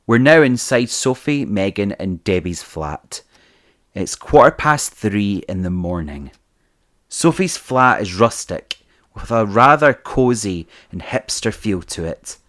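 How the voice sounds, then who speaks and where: A man's voice: on the low side, expressive, slow pace, a bit bright. A man reading scripted narration for an audio drama, describing the scenes as narrator.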